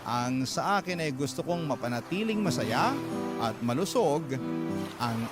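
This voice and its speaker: A Filipino man narrates a personal letter in a dramatic, scripted storytelling style. His voice sits a little high for a man. Loud music runs behind him. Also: lively intonation, a little slow.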